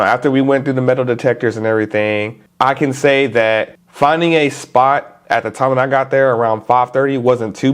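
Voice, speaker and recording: Stuffy-nosed man chatting to camera. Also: medium pitch. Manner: relaxed, chatty, informal slang. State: stuffy nose. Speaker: vlogger. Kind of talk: casual talk to camera.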